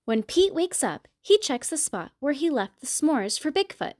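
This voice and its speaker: A woman reading a storybook aloud to young children in an expressive, deliberate storytelling manner. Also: high-pitched, dry recording, studio quality. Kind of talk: scripted read-aloud narration for young children.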